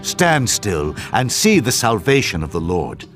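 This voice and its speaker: Moses in an audio drama, a firm leader calming his people. His pitch moves with lively rises and falls. Music plays clearly behind. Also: unhurried. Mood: reassuring. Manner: firm, reassuring address. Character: Moses, steady male leader reassuring people. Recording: voice over music.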